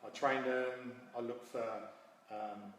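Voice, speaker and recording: A man's voice: medium pitch, steady tone, slow pace, fairly bright tone. A man, telling his story informally as a coach being interviewed. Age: 40s. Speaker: guest, MMA coach and founder. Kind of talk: casual interview answers. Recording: very echoey hall, distant mic, faint noise.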